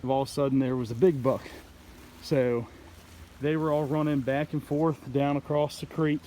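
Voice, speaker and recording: A man telling a story casually in a halting, rambling way, like an outdoor vlog host talking to the camera. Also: medium pitch, steady tone, mellow. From United States. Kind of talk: casual play-by-play hunting storytelling. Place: outdoors in woods by a creek. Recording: noisy outdoor ambience.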